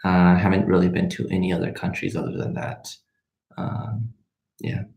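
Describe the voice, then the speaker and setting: Low, gravelly young man chatting casually. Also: completely flat delivery, normal speed, darkish tone. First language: Spanish. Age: 20s. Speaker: medical student and vlogger hosting a live question session. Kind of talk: casual livestream question-and-answer chat. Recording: roomy, echoing sound.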